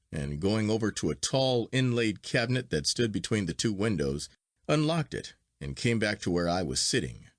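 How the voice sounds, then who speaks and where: A man reading a literary story as an audiobook narrator, in a measured and expressive manner. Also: lowish voice, no room sound, clean studio sound.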